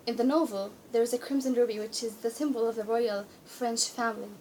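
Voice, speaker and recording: A woman's voice: on the high side, steady tone, a little fast, darkish tone, a little hiss or hum, rough recording. A sixteen-year-old female author from Jordan, native in Arabic and Spanish, giving simple, hesitant answers in a casual interview. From born in Spain, raised in Jordan from age seven. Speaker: young author, interview guest. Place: radio studio.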